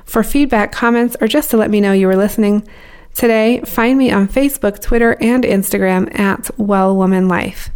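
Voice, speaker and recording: A woman's voice: mid-range voice, moderate pace, darkish tone, clean tone. A woman hosting a podcast, asking interview questions and reading announcements in an upbeat way. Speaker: podcast host. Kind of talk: interview and sponsor reads.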